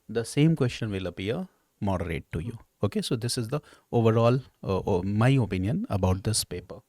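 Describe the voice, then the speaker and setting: A man's voice: on the low side, animated, fairly quick, a little mellow, dry recording, studio quality. A man with an Indian accent explaining exam questions like a teacher, often checking in with "okay" and "right". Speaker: history teacher at exam coaching institute.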